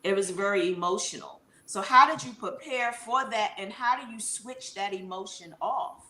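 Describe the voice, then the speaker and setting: A woman's voice: mid-range voice, moderate pace, bright, forceful tone, echoey room, poor audio. A woman hosting an interview, putting questions to her guest in a conversational way. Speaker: interview host.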